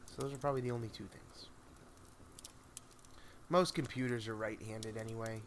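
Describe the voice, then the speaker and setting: A man hosting a story-reading livestream, chatting casually in a halting, think-out-loud way over background noise. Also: on the low side. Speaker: host of a spooky story reading stream. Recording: clear steady background noise.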